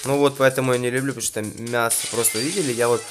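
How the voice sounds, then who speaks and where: A slightly hoarse man giving casual gameplay commentary, chatting and thinking aloud with frequent restarts and throat clearing. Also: medium pitch, fairly even intonation, fast talker, over music. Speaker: gaming YouTuber.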